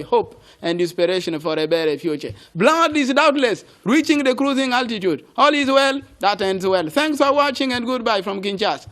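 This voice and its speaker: A man's voice: high-pitched, a bit bright. A man hosting a talk show in a theatrical, emphatic manner, repeating his phrases. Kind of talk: hosting a panel discussion, catchphrase-laden sign-off.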